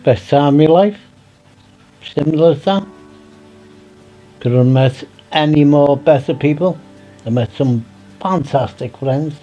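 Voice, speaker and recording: A man's voice: a little high, takes it slowly, long pauses, mellow, dull audio, faint music behind. A man with a Liverpool accent, reminiscing casually in an interview in plain-spoken, colloquial language. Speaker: CB radio hobbyist being interviewed.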